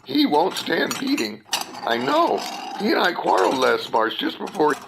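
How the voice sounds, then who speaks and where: A woman's voice: lowish voice, wildly swooping pitch, normal speed, gravelly, background noise, exaggerated voice. A woman playing an eccentric, touchy tea-party host in a radio play, theatrical and argumentative. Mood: haughty. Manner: theatrical, argumentative, singing off in bursts.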